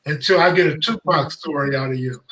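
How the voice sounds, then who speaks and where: A man's voice: a little high, fairly quick, a bit bright, recorded from a distance. A man hosting a live-stream interview, nudging guests with short prompts. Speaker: host. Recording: roomy sound, away from the mic.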